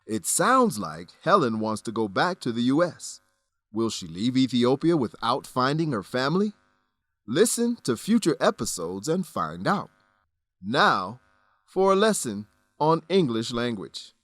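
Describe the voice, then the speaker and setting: A man presenting scripted educational narration as a lesson host, deliberate and carefully enunciated. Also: mid-range voice, very animated, a bit bright, no room sound.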